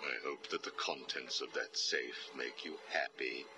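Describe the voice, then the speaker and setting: An actor playing a cold, arrogant older psychiatrist villain in a video game, speaking in a measured, clinical, condescending way. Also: male voice, steady tone, noisy background. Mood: cold, smug. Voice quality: very deep.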